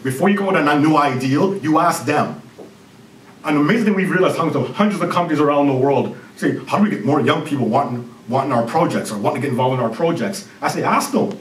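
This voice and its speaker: A man in his thirties with a Jamaican accent, a CEO giving an energetic conference presentation and joking with the audience. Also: on the high side, a little hiss or hum. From Canada. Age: 30s. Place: university lecture hall. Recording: roomy hall recording from far off.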